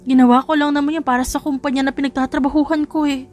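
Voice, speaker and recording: A woman's voice: high-pitched, level tone, fast talker, darkish tone, close to an everyday voice. Remorseful young woman, voiced by a female narrator, music behind. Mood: sad, apologetic. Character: remorseful young woman reporter, earnest and apologetic. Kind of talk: dramatised romance audiobook dialogue.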